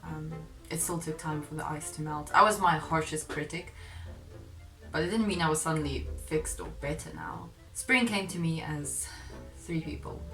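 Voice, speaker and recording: Low-voiced young woman, Afrikaans first language. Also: normal speed, a bit bright, rough recording. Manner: candid, self-deprecating, laughs mid-sentence. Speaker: vlogger. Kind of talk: confessional vlog narration. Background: faint music.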